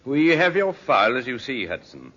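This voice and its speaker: An actor playing a dry, shrewd police inspector in a 1950s radio drama, with measured, sceptical questioning. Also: male voice, mid-range voice, sing-song, fairly bright tone. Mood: dryly probing. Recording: muffled, scratchy 1950s radio recording.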